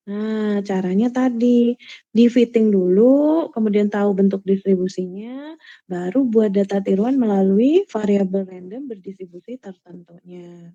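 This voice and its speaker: A woman's voice: medium pitch, dark tone. Woman lecturer on a dull recording. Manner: explanatory, checks understanding with quick questions. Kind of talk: screen-recorded spreadsheet lecture.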